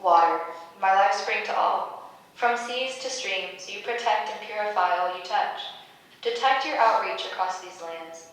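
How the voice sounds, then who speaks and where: A woman's voice: medium pitch, unhurried, a bit bright, natural voice. A woman playing a solemn, nurturing nature goddess in a staged play reading, speaking in a stately, incantatory way. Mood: solemn, tender. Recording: very echoey room, distant mic, background noise.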